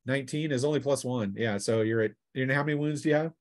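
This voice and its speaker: A man's voice: medium pitch, fast. Man running a tabletop game as game master. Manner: conversational, narrates action between rules talk and arithmetic. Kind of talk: unscripted tabletop game refereeing.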